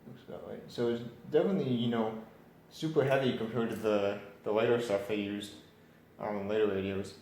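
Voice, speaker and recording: A man giving an unscripted hands-on walkthrough, musing aloud in a tentative, halting way. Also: lowish voice, fairly even intonation, a little mellow. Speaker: radio repair hobbyist presenting. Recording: roomy echo, background noise, varying distance.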